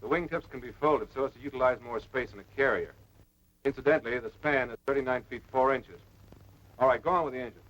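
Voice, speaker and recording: A man's voice: medium pitch, fairly bright tone, natural voice. Seasoned wartime officer played by a man, dull old soundtrack. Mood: instructive. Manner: instructive, measured, authoritative. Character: seasoned, patient air force officer instructing a green pilot. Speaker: actor in a wartime training film. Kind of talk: 1940s military training film acting. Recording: noisy dull vintage film soundtrack.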